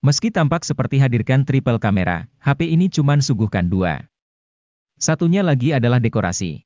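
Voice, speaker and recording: A man's voice: mid-range voice, big pitch swings, brisk, darkish tone, no echo, muffled recording. A man with an Indonesian accent reading a scripted gadget review in a formal, informative way, as a voice-over close to the microphone. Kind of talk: scripted narration for a product review.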